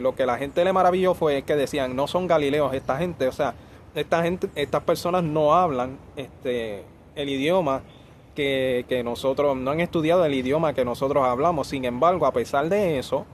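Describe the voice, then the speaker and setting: A man's voice: fairly high pitch, a little hiss or hum. A man preaching a Bible teaching, hesitant, with frequent fillers and restarts. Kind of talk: sermon-style Bible teaching.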